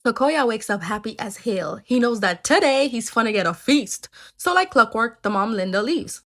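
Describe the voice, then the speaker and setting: A woman's voice: fairly high pitch, animated, normal speed. A woman telling folktales in a casual, chatty way, tossing in joking asides to listeners. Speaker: storyteller.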